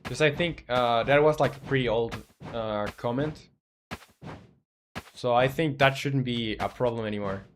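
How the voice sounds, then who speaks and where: A young man in his twenties talks casually on a live stream while building a game. His voice sits in a middle pitch, and he rambles along, thinking aloud with plenty of ums and uhs as he reads and answers chat. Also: measured pace, slight background noise. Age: 20s.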